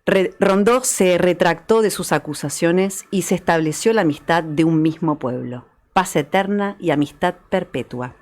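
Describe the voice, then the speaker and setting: A woman's voice: fairly low pitch, moderate pace, few pauses, a little mellow. A woman, a guest author narrating history as a story and chatting on a talk show. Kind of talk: dramatized storytelling narration and chat.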